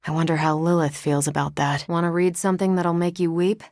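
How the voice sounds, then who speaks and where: Woman acting as tough superhero soldier, American accent, lowish female voice, wry and confident, casual sardonic delivery, dry studio sound, video game character. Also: level tone, a little fast, a little mellow, no room sound, lightly acted. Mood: serious, sincere. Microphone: close, dry studio microphone.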